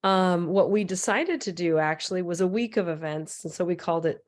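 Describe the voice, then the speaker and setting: A woman speaks in a somewhat low, raspy voice, hoarse from a cold. She gives an informal presentation in a loose, rambling way with plenty of fillers and restarts. She is heard through a computer mic on a video call. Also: expressive, brisk. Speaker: transit equity advocate presenter.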